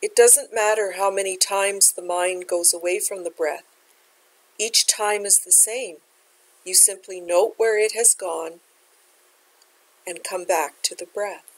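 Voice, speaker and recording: Woman gently guiding a meditation. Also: mid-range voice, steady tone, unhurried, poor audio. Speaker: meditation teacher. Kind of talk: guided meditation instruction.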